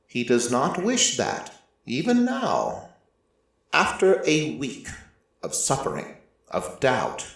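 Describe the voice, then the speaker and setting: A man playing an ancient, merciless deity who narrates a story in a measured, solemn way with deliberate pauses. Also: medium pitch. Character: ancient merciless deity, male-voiced, reflective and quietly fond. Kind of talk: scripted first-person dramatic narration. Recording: echoey room, slightly distant from mic.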